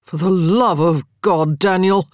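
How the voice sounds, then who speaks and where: A woman's voice: fairly low pitch, measured pace, darkish tone, no echo, natural voice. Woman acting an exasperated, tipsy young earl. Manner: groaning, dry. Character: serious young English earl, exasperated, drunk. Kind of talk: audiobook character acting.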